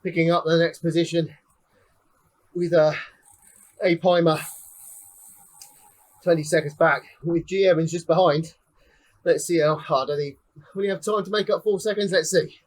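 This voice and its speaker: A man's voice: fairly high pitch, fairly even intonation, normal speed, pauses often. A young man giving casual live commentary on a cycling race while riding, chatty and slightly out of breath. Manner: chatty, calling out finishers one by one. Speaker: ride leader and race commentator.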